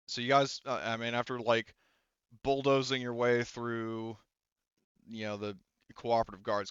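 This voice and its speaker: Man, game master, casual halting narration, informal, dry close sound, slightly dull recording. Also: on the low side, fairly bright tone, smooth, dry recording. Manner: halting, informal, thinking aloud.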